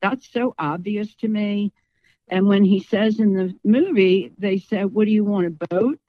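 A woman's voice: medium pitch, unhurried, dry recording. A woman speaking as an interview guest in a conversational, outspoken and emphatic way, with slightly lo-fi sound.

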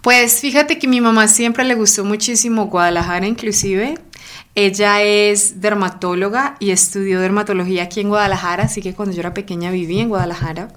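A woman in her thirties who grew up in Colombia talks as a guest on a podcast. She speaks in an enthusiastic, chatty way. Her pitch sits in the middle range. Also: brisk, fairly bright tone. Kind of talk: casual interview.

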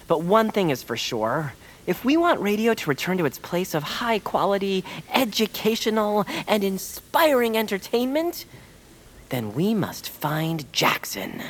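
A woman's voice: mid-range voice, very animated, a little slow, a little hiss or hum. A woman voicing an earnest, polite little adventurer in a family audio comedy, formal and somewhat hesitant. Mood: resolute. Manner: formal, hesitant, long-winded.

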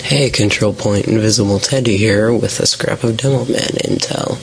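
Low male voice on a noisy amateur recording. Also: steady tone, normal speed, creaky. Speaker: listener sending in an audio question. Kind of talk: prepared voice message.

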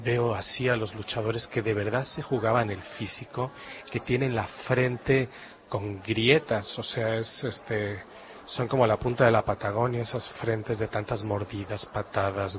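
A man from Galicia, a novelist, answering interview questions in a reflective, literary way with dry humor. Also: on the low side. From Galicia, Spain. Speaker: novelist guest. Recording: dull lo-fi radio sound.

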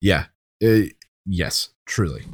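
A man's voice: low voice, animated, slow pace, slightly rough, dry recording, studio quality. A man co-hosting a podcast, making his case about movies in casual, emphatic, opinionated talk. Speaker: podcast co-host. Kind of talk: casual film commentary, arguing a case.

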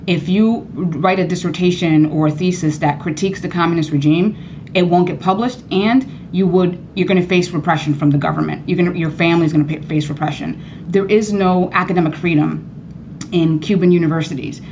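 A woman born in Cuba speaks as a guest in a radio interview, with a voice that sits slightly low. She talks earnestly and grows animated, leaping higher when she presses a point. A faint low rumble sits under her voice. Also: a little fast. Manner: earnest, animated, rising high when emphatic. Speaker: professor and author, guest.

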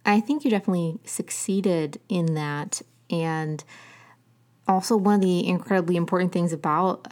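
A woman with a fairly low voice who is hosting an interview with an author. She chats warmly and eagerly, heaping praise and easing into her questions. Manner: chatty, enthusiastic, complimentary.

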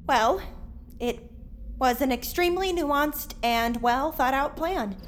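Unhurried high-voiced stubborn young woman. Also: very noisy. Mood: wry. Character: stubborn, wry young woman, loyal monster fighter. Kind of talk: audio drama acting.

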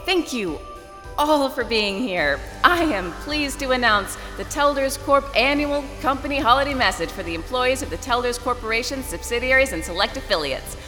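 A woman's voice: high-pitched, animated, moderate pace, pushed and bright, big-room sound, soft background music. An actress playing Grace, a harried corporate event emcee who loses her temper and swears at her stage manager in an audio drama. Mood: nervous formal. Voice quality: profane, exasperated, bickers. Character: flustered harried woman company emcee.

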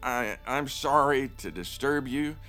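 An actor playing a proud, pushy cowboy senator in an audio drama, pompous, formal and theatrical. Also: male voice, mid-range voice, lively intonation, a little slow, sharp tone. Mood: flustered, chastened. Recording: music under the voice.

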